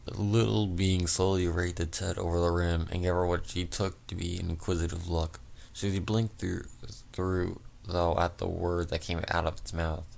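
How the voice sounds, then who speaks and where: A tired man narrating a fan fiction story as an amateur reader, halting and stumbling over words. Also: low-pitched, flat delivery, creaky, a little mellow. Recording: faint background noise.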